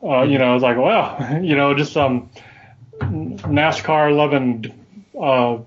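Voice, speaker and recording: A man's voice: medium pitch, takes it slowly, slightly rough. A man from Alabama chatting casually and cracking wry jokes. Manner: wry, joking. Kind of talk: casual chat. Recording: dull lo-fi sound.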